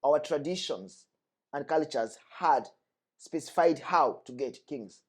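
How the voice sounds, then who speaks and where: A man's voice: a little high, expressive, takes it slowly. A young man from the Democratic Republic of Congo, speaking earnestly and with emphasis as a guest, repeating his key points. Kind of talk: earnest discussion.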